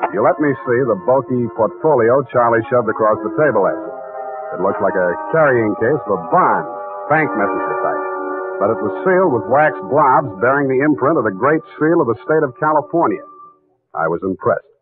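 An actor playing a cool, wisecracking private detective, narrating wryly in the style of a 1940s radio drama. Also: male voice, medium pitch, normal speed, close to an everyday voice. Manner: wry, breezy, deadpan storytelling. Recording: lo-fi 1940s radio, loud music behind.

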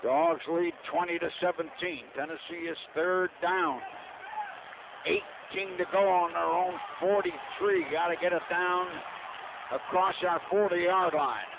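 A man's voice: sits high, steady tone, unhurried, creaky. A man calling American football play-by-play live on the radio, rooting for his team in short, clipped phrases. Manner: partisan, clipped fragmentary phrases. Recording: lo-fi radio broadcast, narrow band, loud noise.

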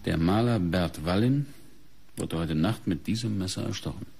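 Gravelly, low-voiced police inspector. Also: male voice, a little mellow, faint music behind, heavily acted. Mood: matter-of-fact. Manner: measured, reasoning aloud. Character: sober, methodical middle-aged male police inspector. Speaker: actor in a radio crime play. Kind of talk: German radio crime drama acting.

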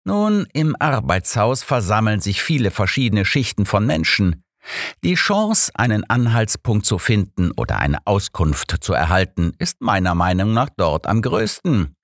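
A man reading an elderly, learned detective in an audiobook, with a measured, formal, explanatory and calmly assured way of talking. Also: on the low side, no room sound, studio quality, exaggerated voice.

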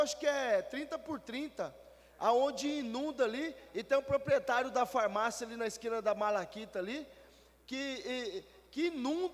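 High-voiced man pressing a complaint. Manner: complaining, urging action. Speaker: city councillor. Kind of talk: formal council speech. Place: council chamber at a table. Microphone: table gooseneck mic. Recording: long hall reverb.

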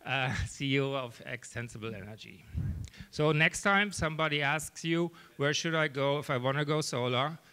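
A German-accented man in his thirties gives an earnest startup pitch into a handheld mic, scattering "um"s as he goes. His voice is bright and forward, sitting at an average pitch for a man. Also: normal speed, smooth. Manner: earnest, steady, many "um"s. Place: small event room, indoors.

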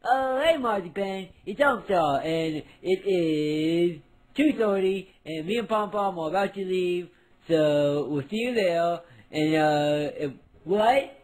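A man's voice: high-pitched, animated, slow pace, exaggerated voice, low-quality recording. A man voicing a good-natured, dim cartoon guy who rambles indecisively into a phone message. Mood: cheerful, goofy. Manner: goofy, meandering, indecisive.

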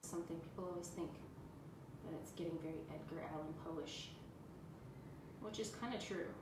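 A woman's voice: low voice, moderate pace. Chatty woman teaching painting, echoey room. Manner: chatty and encouraging, talks to viewers while working. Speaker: furniture painting instructor. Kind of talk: live-streamed painting tutorial. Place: room indoors, seated on floor by a cabinet. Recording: echoey room with background noise.

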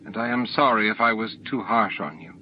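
Man reading a gentle, sorrowful young alien woman. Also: lowish voice, a little fast, lightly acted. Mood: softening. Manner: gentle, formal. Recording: dull noisy audiobook recording.

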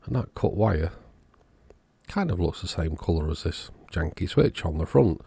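Man, husky and creaky voice, informal repair narration, matter-of-fact, thinking aloud. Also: sits low, lively intonation, normal speed, dark tone, dry recording. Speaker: electronics repair YouTuber.

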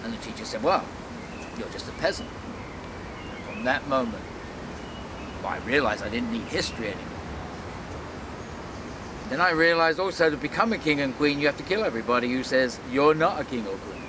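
A man's voice: mid-range voice, lively intonation, a little fast, pauses often. Older man over noisy outdoor ambience. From London, England. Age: 60s. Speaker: world traveler being interviewed. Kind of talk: reflective personal storytelling to camera. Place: outdoors in a leafy city park.

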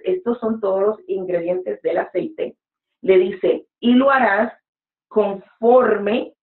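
Woman preacher in her 40s giving earnest advice over a lo-fi, echoey video call. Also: medium pitch. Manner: earnest, exhorting, with gestures. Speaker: guest preacher. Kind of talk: motivational interview talk. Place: home room with bookshelves. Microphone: webcam on a video call. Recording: echoey room, phone-like sound.

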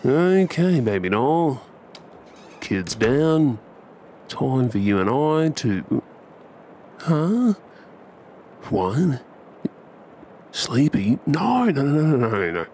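An Australian man performing an ASMR bedtime roleplay as an affectionate, cheeky young husband and dad, coaxing and hushed. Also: mid-range voice, very animated, takes it slowly, long pauses, a little hiss or hum. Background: ambient sound effects.

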